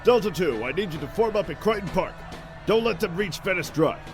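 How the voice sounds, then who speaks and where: A man voicing a gruff, hot-tempered military commander barking orders in an audiobook. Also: sits high, lively intonation, a little fast, over music, voice pushed up. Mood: commanding. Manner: shouting, commanding, grumbling. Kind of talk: audiobook character acting.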